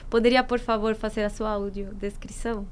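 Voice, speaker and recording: A woman from Colombia with a Colombian Spanish accent, moderating a videocast panel with measured introductions. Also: mid-range voice, level tone, a little hiss or hum.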